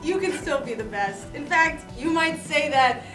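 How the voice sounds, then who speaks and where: A woman's voice: high-pitched, animated, moderate pace. A woman at a comedic table read playing a male movie comedy star, the group's casual, teasing leader. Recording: echoey room, distant mic, music behind.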